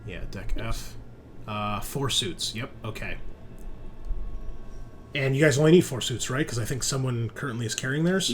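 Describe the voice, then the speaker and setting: A man narrating a tabletop roleplaying game as game master, improvising with pauses. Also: mid-range voice, expressive, a little hiss or hum. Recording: music playing under the voice. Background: music.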